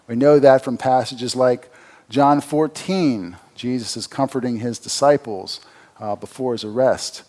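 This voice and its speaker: A pastor giving church announcements and then a conversational sermon, asking the congregation rhetorical questions. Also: male voice, medium pitch, darkish tone.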